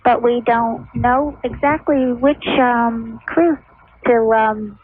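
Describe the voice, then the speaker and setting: A woman's voice: on the high side, fairly even intonation, slow pace, slightly rough. A woman calling a radio show, chatting casually in a hesitant, questioning manner. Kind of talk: casual phone conversation. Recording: tinny phone line on old radio.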